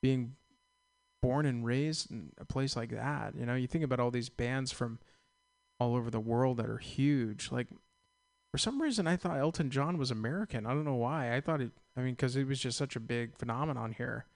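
A man's voice: mid-range voice, normal speed, creaky, darkish tone. A man hosting a radio show, chatting casually in a rambling, easygoing way with lots of fillers. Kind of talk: casual chat. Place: radio studio.

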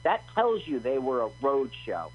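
A man's voice: medium pitch, wildly swooping pitch, normal speed, husky, lo-fi sound. A man co-hosting a radio show, telling stories emphatically and giving strong opinions in casual chat. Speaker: radio co-host. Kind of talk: casual chat watching a movie.

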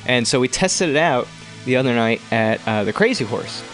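A man guesting on a talk radio show, rambling and halting, with crude jokes. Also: mid-range voice, expressive, a bit bright, soft background music. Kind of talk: casual radio chat.

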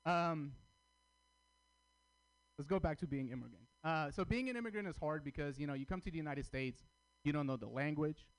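A man from Armenia, raised in Russia, performing a stand-up comedy set with crude, deadpan punchlines. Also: fairly high pitch, moderate pace, long pauses, poor audio. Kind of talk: stand-up routine at open mic.

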